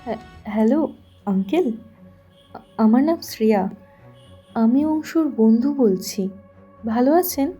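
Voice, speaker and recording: A woman playing an anxious, soft-hearted young woman in an audio drama, with a hesitant, questioning way of talking. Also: fairly high pitch, lively intonation, unhurried, pauses often, slightly rough, faint music behind. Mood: hesitant, polite.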